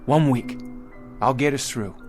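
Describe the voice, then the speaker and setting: A man playing a determined, stubborn pioneer father leading a wagon train in a radio drama, with rustic, emphatic and sometimes halting speech. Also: mid-range voice, close to an everyday voice. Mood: urgent pleading. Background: music.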